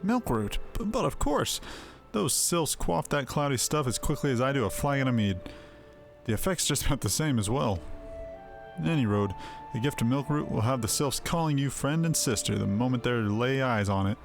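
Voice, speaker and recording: A man plays a jovial retired adventurer turned innkeeper in a fantasy game. He is chatty and folksy in a mid-range voice, with music clearly playing underneath. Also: medium pitch, animated, moderate pace. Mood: jovial, friendly. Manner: chatty, jovial, folksy old-fashioned phrasing. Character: hearty, friendly male innkeeper and retired adventurer.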